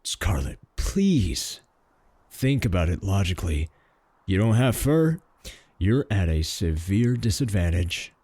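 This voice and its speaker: A man playing a clingy, cocky male werewolf in a comedic roleplay audio drama, boastful, sarcastic and pleading, with a husky voice. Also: low-pitched, big pitch swings, slow, mellow, dry recording, full character voice. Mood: taunting.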